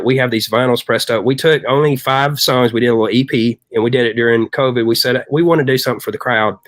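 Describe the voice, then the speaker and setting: A man's voice: mid-range voice, fairly bright tone, no echo. A middle-aged man telling casual anecdotes as a rock singer guest in a chatty, long-winded way.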